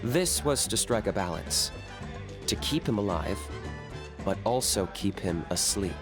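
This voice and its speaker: An actor playing a cool, blunt young warrior in a game, deadpan and matter-of-fact. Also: male voice, lowish voice, measured pace. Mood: calm, blunt. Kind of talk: game voice acting. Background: game music.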